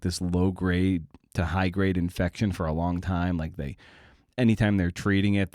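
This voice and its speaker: A middle-aged man with a deep voice talks as a podcast host. His delivery is casual and rambling, with a sardonic, self-deprecating edge and some blunt swearing. The sound is very dry, free of room echo. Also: a little mellow.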